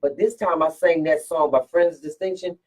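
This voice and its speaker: A woman with a deep, strong voice, a singer from Philadelphia, telling stories in a casual radio interview with lots of restarts and repeated words. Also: steady tone, normal speed, recorded from a distance. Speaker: guest singer.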